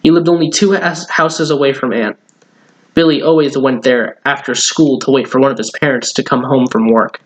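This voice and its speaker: A man's voice: mid-range voice, fairly even intonation, moderate pace, rough recording. A man reads a children's story aloud in a mid-range voice. He sometimes trips on a word and reads it again. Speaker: story reader.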